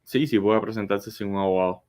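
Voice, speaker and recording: Lowish-voiced male immigration lawyer. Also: a little fast, a little mellow. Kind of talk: live legal advice, explanatory.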